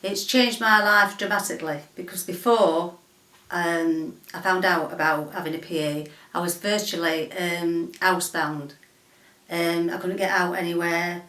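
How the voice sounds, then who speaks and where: A woman giving halting personal testimony. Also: fairly low pitch, steady tone, sharp tone. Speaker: client of a personal assistant. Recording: echoey room, distant mic.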